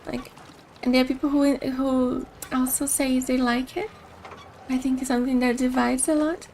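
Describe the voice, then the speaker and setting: A woman's voice: sits high, level tone, moderate pace, darkish tone, a little hiss or hum. Chatty Brazilian woman streamer. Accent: Brazilian Portuguese. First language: Portuguese. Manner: chatty, rambling, thinks aloud. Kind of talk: casual gameplay commentary.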